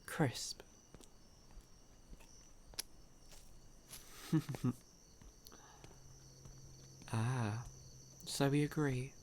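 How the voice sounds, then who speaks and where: Man acting a very slow-talking, suave vampire. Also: medium pitch, fairly even intonation, darkish tone, slight background noise, natural voice. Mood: charming, coy. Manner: slow, drawn-out, teasing, with breathy chuckles. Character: suave, sly, hungry male vampire, ageless predator. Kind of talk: ASMR audio roleplay acting.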